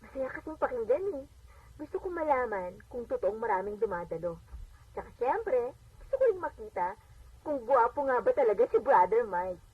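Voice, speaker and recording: An actress playing a devout, lively working mother and bossy older sister in a radio drama, speaking in an expressive, conversational way. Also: fairly high pitch, normal speed, a little hiss or hum. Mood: chatty, curious. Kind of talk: Filipino radio drama acting. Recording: dull radio playback, distant, music behind.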